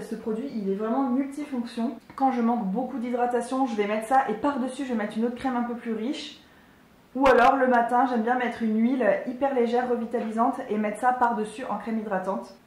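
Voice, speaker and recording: A woman's voice: on the high side, darkish tone, echoey room. A woman in her twenties chatting casually and enthusiastically as a beauty vlogger, with lots of fillers. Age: 20s. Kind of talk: casual product review.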